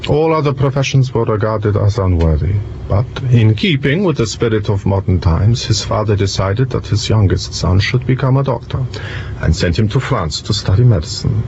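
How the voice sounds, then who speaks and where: A man playing a polite, precise young gentleman detective in an audio drama, courteous and measured. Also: fairly low pitch, expressive. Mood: calm, confident. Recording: muffled, noisy vehicle ambience behind.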